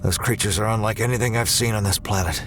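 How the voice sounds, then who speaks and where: An actor playing a grim, weary, commanding space ranger in a sci-fi audio drama, with stern, measured delivery. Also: male voice, fairly low pitch, steady tone, background noise, lightly acted. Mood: gruff, guarded.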